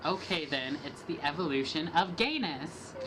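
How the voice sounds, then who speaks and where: A woman's voice: sits low, expressive, moderate pace, background noise. A young woman doing a dramatic reading of rap lyrics as a student project, trading rhythmic lines with a partner. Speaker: student reader.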